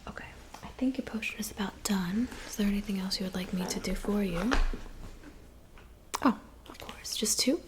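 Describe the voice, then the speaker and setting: A woman speaking in a soft, breathy whisper, gently reassuring the listener in an ASMR roleplay. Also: medium pitch, normal speed, long pauses, noisy background. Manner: gentle, reassuring, attentive personal-attention talk. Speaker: ASMR performer. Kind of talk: whispered ASMR roleplay as a witch doing hair, makeup and potions.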